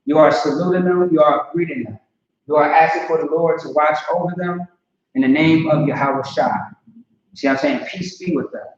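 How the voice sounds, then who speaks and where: A man's voice: fairly high pitch, steady tone, normal speed. Casual man teaching in an echoey room. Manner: casual, repetitive, checks understanding often. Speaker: language teacher. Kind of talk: informal online language lesson. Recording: distant lo-fi mic in a very echoey room.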